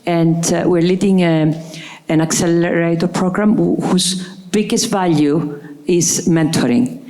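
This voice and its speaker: A woman's voice: fairly low pitch, dark tone. A woman from Cyprus asking a panel a long, polite question from the audience. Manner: measured, polite, explanatory. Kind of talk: question from the floor.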